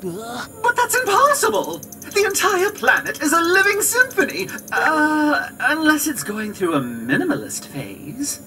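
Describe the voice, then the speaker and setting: A woman voicing a small floating robot guide in a video game, excitable, earnest and theatrical. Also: high voice, measured pace, full character voice, poor audio. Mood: alarmed. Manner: theatrical, exclamatory. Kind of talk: video game cutscene acting. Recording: background game music.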